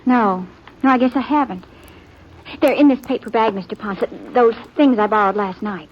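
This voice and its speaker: A coy, proud young woman of the Old West voiced by an actress, with a very high voice. She comes through a muffled old radio drama recording with faint hiss. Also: moderate pace, natural voice. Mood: coolly polite. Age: young adult. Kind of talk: 1950s radio drama acting.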